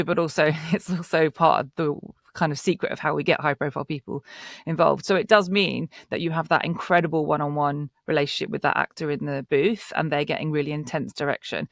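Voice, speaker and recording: A man's voice: fairly high pitch, gravelly, no room sound, studio quality. A man speaking unscripted on a production panel, enthusiastic, wordy and emphatic.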